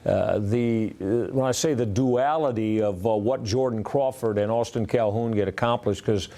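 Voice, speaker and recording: An American man hosting a sports interview, asking long, winding questions in a halting, conversational way. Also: lowish voice, dark tone. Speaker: sports show host interviewing a guest.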